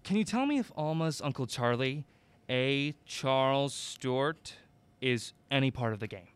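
A man's voice: medium pitch, animated, a little slow, clean tone, natural voice. A man playing an earnest, easygoing young amateur sleuth in an audio drama.

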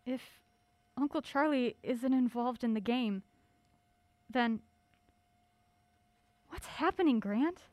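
A woman's voice: on the high side, slow pace, long pauses, background noise. A woman playing a curious, polite young woman drawn into a mystery in an audio drama. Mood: uneasy.

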